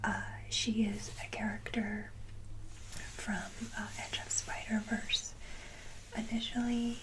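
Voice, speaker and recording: Woman, breathy whisper, slow pace, short halting phrases, gentle casual ASMR show-and-tell. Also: mid-range voice, level tone, low-quality recording. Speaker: ASMR video host.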